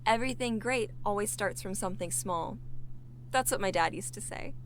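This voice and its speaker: A woman's voice: mid-range voice, normal speed, a little hiss or hum, lightly acted. An actress playing an idealistic young woman in an audio drama, earnest and tender with a teasing streak. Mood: earnest, warm. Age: young adult. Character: idealistic, playful young woman, hopeful and affectionate.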